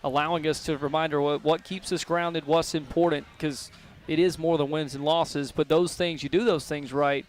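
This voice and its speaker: A man hosts a live sports radio broadcast, asking long, friendly, rambling questions. His voice sits on the high side for a man. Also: steady tone, moderate pace, a little hiss or hum. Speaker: radio show host. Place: restaurant broadcast.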